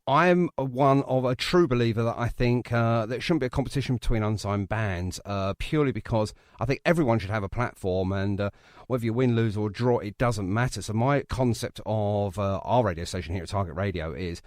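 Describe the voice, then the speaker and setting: A man's voice: fairly low pitch, brisk, no room sound, studio quality. A chatty, matey English man hosting a casual radio interview, speaking with some emphasis.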